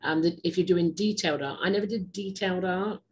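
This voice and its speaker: A woman hosting an interview show, chatty and digressive, quick to laugh. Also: on the low side, darkish tone, slight background noise. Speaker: host. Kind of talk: casual interview chat.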